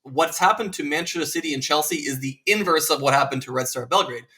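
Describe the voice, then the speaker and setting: A man's voice: on the high side, quick pace, pushed and bright. A man from Serbia hosting a podcast, talking earnestly and thoughtfully with many fillers. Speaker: podcast host. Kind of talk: casual conversational interview.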